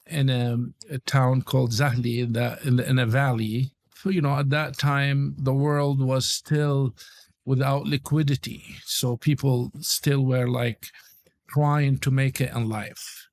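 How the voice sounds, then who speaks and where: A man in his sixties from Lebanon with a Lebanese accent, a podcast guest telling his life story in a halting way with many fillers. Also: mid-range voice, vocal fry, no room sound. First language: Arabic. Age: 60s. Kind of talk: interview storytelling.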